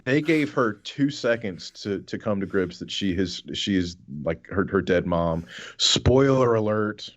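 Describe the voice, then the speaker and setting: A man's voice: on the low side, lively intonation, a little slow, highs cut off. A man co-hosting a podcast with casual comic rants, rambling, sardonic and sweary, stammering and repeating words. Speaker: podcast co-host. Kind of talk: casual comic ranting chat.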